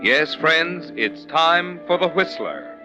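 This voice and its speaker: A man's voice: a little high, very animated, takes it slowly, music in the background. A man announcing in scripted 1940s radio style, emphatic and promotional.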